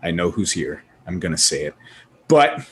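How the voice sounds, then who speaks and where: A man's voice: low-pitched, sing-song, normal speed. A man talking casually and emphatically as host of a panel discussion, rambling through his opinions. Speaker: podcast host.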